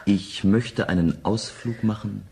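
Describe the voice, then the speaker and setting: An actor playing a stubborn, adventurous man of a sci-fi future in a German radio play, with a gravelly, husky voice. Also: on the low side, measured pace, darkish tone, heavily acted. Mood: earnest, persuading. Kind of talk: German radio play acting, first-person narration and dialogue.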